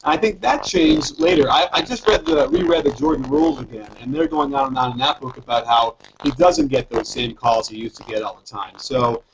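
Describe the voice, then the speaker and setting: American man, forties, gravelly voice, casual basketball host, muffled and noisy video-call sound. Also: medium pitch, a little fast, hardly any pauses. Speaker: interview host, basketball coach. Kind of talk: casual sports chat. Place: living room, indoors. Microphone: video call, computer microphone.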